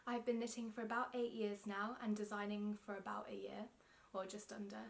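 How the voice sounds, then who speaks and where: A young woman from London speaks to camera in a casual, chatty self-interview. Her London English accent is clear, and she talks in a friendly, slightly awkward way, often pausing with ums. It is recorded indoors in a small room. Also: medium pitch, flat delivery. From England. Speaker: knitting vlogger. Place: indoor room with yarn shelves.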